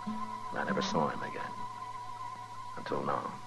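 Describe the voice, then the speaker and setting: Deep-voiced steady frontier marshal. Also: male voice, normal speed, gravelly, loud music behind the voice, heavily acted. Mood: grim reflective. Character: steady, weary frontier town marshal, plain-spoken man. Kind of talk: 1950s radio western acting. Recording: 1950s radio drama recording.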